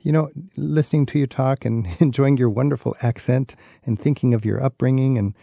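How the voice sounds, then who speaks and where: A man with a gravelly, mellow voice who hosts a radio travel show. The sound is dry and close, with nothing behind him. He asks his guest questions in a friendly, curious way. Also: mid-range voice, fairly even intonation, brisk, no echo. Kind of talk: radio interview.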